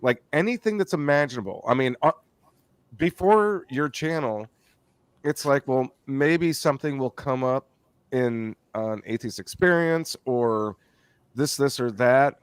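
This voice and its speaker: A man hosting a podcast, rambling warmly through thanks with many restarts. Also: medium pitch, unhurried, clean tone, no room sound. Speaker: podcast host. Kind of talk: casual podcast chat.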